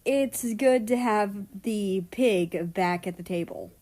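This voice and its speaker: A woman's voice: medium pitch, slow, darkish tone, natural voice. A woman voicing an affectionate, teasing younger brother with a folksy manner in a read-aloud story. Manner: folksy, ends phrases with eh.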